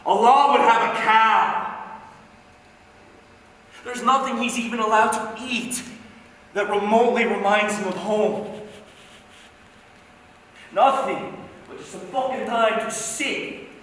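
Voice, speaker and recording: Male actor as anguished foul-mouthed brother, theatrical monologue, high-pitched, emphatic street talk, dramatic pauses, distant echoey hall recording. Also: fairly even intonation, fairly bright tone. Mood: agitated, incredulous. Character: anguished, foul-mouthed younger man grieving over his soldier brother. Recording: very echoey hall, distant mic, faint noise.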